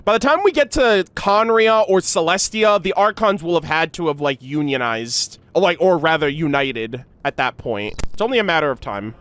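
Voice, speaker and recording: A young man giving casual, slangy reaction commentary on a game, with a playful, excitable manner. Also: fairly high pitch, normal speed, hardly any pauses, clear, resonant tone.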